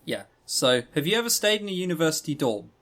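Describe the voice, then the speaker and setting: A man's voice: a little high, sing-song, quick pace, fairly bright tone. A man co-hosting a podcast in casual chat, with a wry, joking, teasing way of talking. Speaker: podcast co-host. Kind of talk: casual chat reviewing visual novels.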